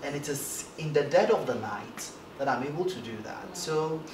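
A light-voiced man talks animatedly in an interview, tripping over a few starts. The sound is roomy, with the mic set back from him. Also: moderate pace. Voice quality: light, high-ish voice for a man. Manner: animated, gestures a lot, stammers on starts. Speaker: broadcast journalist guest. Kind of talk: casual interview talk. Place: indoor studio set, seated in armchair. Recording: roomy echo, distant mic, background noise.

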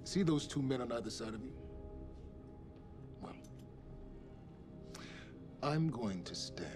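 A calm, menacing corporate enforcer in a game drama. He is middle-aged and speaks with measured, cold control at a medium male pitch, over clear background music. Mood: cold menace. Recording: music behind the dialogue.

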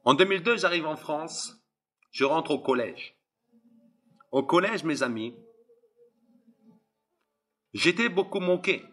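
A young man from Algeria delivers a fervent testimony, circling back on his phrases and addressing the listener head-on. His voice sits somewhat high, with a tense, husky edge. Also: lively intonation, slow pace, long pauses, fairly bright tone, soft background music. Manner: impassioned, repetitive, addresses listeners directly. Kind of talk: preaching testimony.

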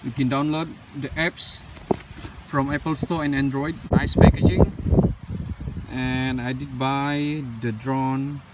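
A man from Malaysia unboxing a drone, talking casually and haltingly, with many fillers and loose grammar. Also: medium pitch, level tone. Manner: unscripted, halting, with frequent "uh" and non-standard English grammar. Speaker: drone reviewer. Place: outdoors on pavement. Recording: distant mic with outdoor street noise.

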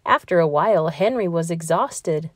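A woman reads a children's storybook aloud in an expressive, deliberate storytelling manner. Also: lowish voice, dry recording, studio quality. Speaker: storybook reader. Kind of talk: children's read-aloud narration.

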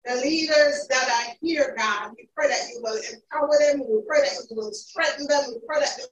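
A woman leading a fervent spoken prayer at a church pulpit, impassioned and rising into shouts of praise. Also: high-pitched, moderate pace, highs cut off, slight background noise. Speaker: prayer leader. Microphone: pulpit mic, recorded by far camera. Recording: echoey church from far off.

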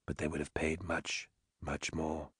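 A male narrator plays a righteous older woman on trial, talking in careful, formal sentences. his voice sits low and dark, and the recording is completely dry. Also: lively intonation, no echo. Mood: measured. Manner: formal, measured speech. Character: proud imprisoned mother on trial, older woman, righteous and unbending.

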